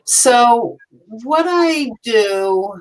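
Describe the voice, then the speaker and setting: A woman on a panel over a video call, answering in a meandering, hesitant way with frequent pauses. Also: on the high side, expressive, low-quality recording. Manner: meandering, hesitant, many ums. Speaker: mosaic artist and panelist. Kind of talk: casual panel talk.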